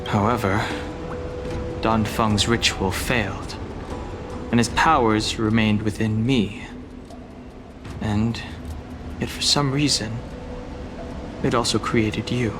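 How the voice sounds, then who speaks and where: A low, serious young dragon warrior, dutiful and formal. He speaks in measured game dialogue with music clearly behind him. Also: fairly even intonation. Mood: solemn, explanatory. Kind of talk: video game voice acting.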